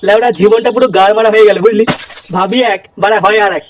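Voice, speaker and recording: A man playing a cartoon idler grumbles at great speed in a high voice. He is crude and gossipy, on a noisy track with clicks. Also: steady tone, rapid-fire, natural voice. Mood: grumbling. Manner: crude, complaining. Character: grumbling cartoon layabout, foul-mouthed gossip. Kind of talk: comedy cartoon dubbing.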